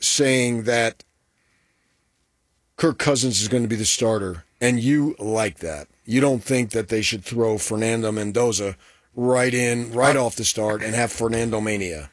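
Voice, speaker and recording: A man co-hosting a sports radio show, talking loudly with playful, boastful teasing. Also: medium pitch, no room sound, clean studio sound. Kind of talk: casual sports talk banter and live ad read.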